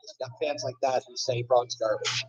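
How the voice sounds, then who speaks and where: A man hosting a casual wrestling review, rambling and excitable, often restarting his sentences. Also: mid-range voice, normal speed, husky. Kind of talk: casual chat. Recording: dull lo-fi recording.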